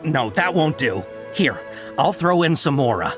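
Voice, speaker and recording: A woman's voice: deep voice, sing-song, measured pace. A woman playing a generous, grateful merchant in a video game, earnest and effusive, with music behind.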